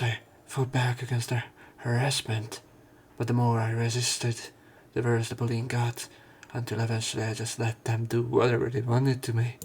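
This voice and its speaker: A man's voice: mid-range voice, a little mellow, a little hiss or hum. A man narrating a visual novel as a let's player, reading the story and lines aloud.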